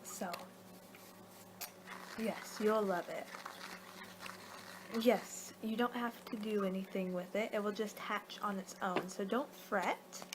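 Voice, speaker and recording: A woman performing a soft-spoken, partly whispered ASMR roleplay as a casual vampire, rambling and halting as she goes. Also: medium pitch, fairly even intonation, background noise. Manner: rambling, halting, losing her train of thought. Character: young-for-her-kind female vampire guiding a client, casual, a little exasperated.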